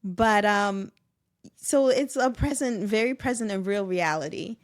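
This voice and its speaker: A middle-aged woman speaking reflectively and personally in conversation, with animated, expressive asides. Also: mid-range voice, unhurried. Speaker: guest.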